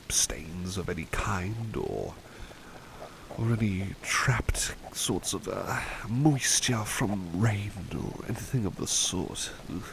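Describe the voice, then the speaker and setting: A man playing a devoted, polite butler who paints, chatting softly and hesitantly to the listener in an ASMR roleplay. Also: low voice, vocal fry, noisy background, full character voice. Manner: hesitant, courteous, old-fashioned wording, gentle. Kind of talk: ASMR roleplay, soft intimate chat to listener.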